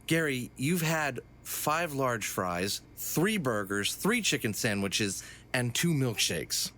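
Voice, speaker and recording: A man's voice: medium pitch, sing-song, slow, sharp tone, slight background noise, heavily acted. A man playing a wry, exasperated young gang leader with dry sarcasm in a comic audio drama. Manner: hesitant asides, dry sarcasm.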